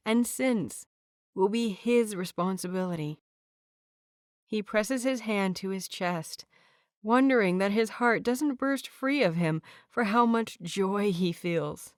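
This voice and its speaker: A woman's voice: medium pitch, dry recording. Woman reading a story, dry close sound. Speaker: narrator. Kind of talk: fanfiction audiobook reading.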